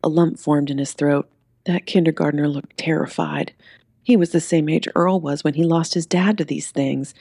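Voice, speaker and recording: Lady reading an audiobook story, lowish voice, really dry recording. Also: fairly even intonation, normal speed, gravelly, warm tone, slightly rough, no room sound. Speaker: audiobook narrator and author reading aloud. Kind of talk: expressive scripted story narration.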